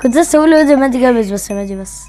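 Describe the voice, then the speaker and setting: A child with an Egyptian accent chatting excitedly while playing a video game, playfully repeating phrases. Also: sits high, rapid-fire, loud music behind the voice. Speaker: gaming video co-host.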